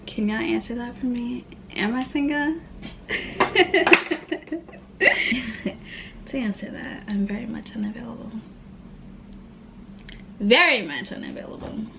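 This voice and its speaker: Woman, late twenties, from Tacoma Washington, casual makeup chat, gravelly fry, noisy room behind. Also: a little high, takes it slowly, long pauses. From Tacoma, Washington, USA. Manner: chatty and candid, heavy on "like", slangy and profane. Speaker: beauty vlogger. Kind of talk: casual get-ready-with-me Q&A chat.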